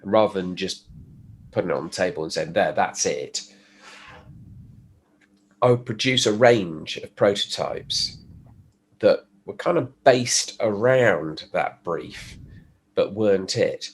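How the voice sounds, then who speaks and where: A man with a stuffy cold, explaining his work in a relaxed podcast chat with fillers and restarts. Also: sits low, takes it slowly, pauses often, breathy edge. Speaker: guest, pickup designer. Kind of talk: casual podcast conversation.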